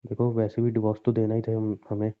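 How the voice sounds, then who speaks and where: A man's voice: fairly low pitch, monotone, quick pace, close to an everyday voice. Man acting as resigned, pleading husband, tinny phone sound. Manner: pleading, insistent, reasoning. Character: injured, resigned husband pressing his estranged wife to divorce. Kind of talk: naturalistic Hindi relationship audio drama.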